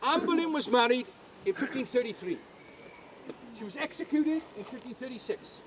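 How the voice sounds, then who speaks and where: A man's voice: very high-pitched, animated, moderate pace, background noise. An older Welsh man giving a jokey, chatty guided tour outdoors at a historic castle, often asking the crowd questions.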